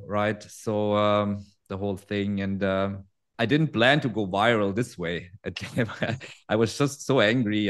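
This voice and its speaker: German-accented man in his forties, low voice. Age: 40s. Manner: friendly, laughs easily, restarts phrases in non-native English. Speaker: guest trader. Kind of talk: casual interview chat.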